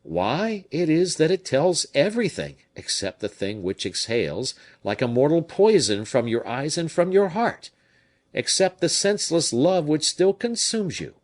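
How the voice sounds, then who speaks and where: A man's voice: a little high, big pitch swings, measured pace, voice pushed up. A man reading an audiobook, voicing a blunt, shrewd male musketeer captain who speaks frankly and with animation.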